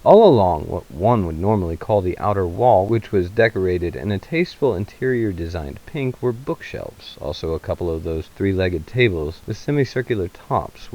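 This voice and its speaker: Low-pitched man narrating with animated swings. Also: dull audio. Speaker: narrator, reader. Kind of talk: dramatic literary narration.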